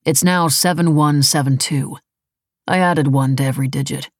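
A woman voicing a cool, calculating, controlled man in an audiobook, speaking in a measured, deliberate and persuasive way. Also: sits low, steady tone, darkish tone, no room sound, clean studio sound. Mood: matter-of-fact.

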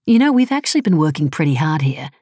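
A woman's voice: deep voice, very animated, quick pace, mellow, husky, no echo, clean studio sound, heavily acted. A woman voicing a defensive, scornful, foul-mouthed woman in an audiobook, blunt and sarcastic with casual phrasing. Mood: earnest, frustrated.

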